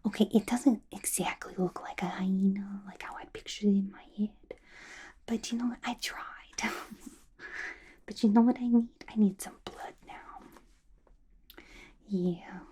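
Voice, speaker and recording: A woman voicing a playful, gossipy villain locked in an asylum, in a breathy, chatty, confiding ASMR roleplay. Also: medium pitch, steady tone, a little slow, pauses often, mellow. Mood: playfully morbid. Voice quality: breathy, whispery. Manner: chatty, confiding, casual slangy talk.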